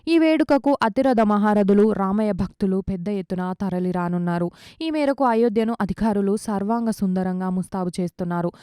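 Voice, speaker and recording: A woman's voice: medium pitch, brisk, warm tone, clear, resonant tone, no room sound, studio quality. A woman reading scripted news as a news reader.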